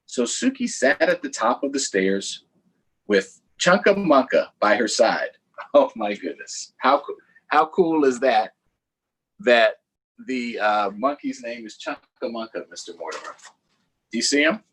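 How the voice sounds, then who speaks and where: A man hosts a story time for young children in a husky voice. His pitch swings widely as he reads and chats. His delivery is playful and sing-song, with casual asides to the viewers. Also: very animated, unhurried, a bit bright, rough recording.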